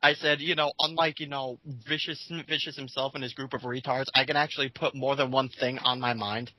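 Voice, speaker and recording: A man's voice: mid-range voice, steady tone, brisk, bright, forceful tone, no room sound, poor audio. A man casually reading out online comments and mocking them with sarcastic, sweary commentary. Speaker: host of an online dramatic reading.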